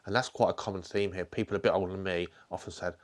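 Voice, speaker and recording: A man hosting a channel, asking questions in a relaxed, conversational way. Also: low voice, fast. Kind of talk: casual recorded message.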